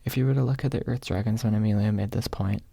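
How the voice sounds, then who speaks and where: A man narrating an ASMR audiobook in a hushed, husky voice, close to the microphone. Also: fairly low pitch, fairly even intonation, fast talker, dry recording. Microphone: close microphone, dry.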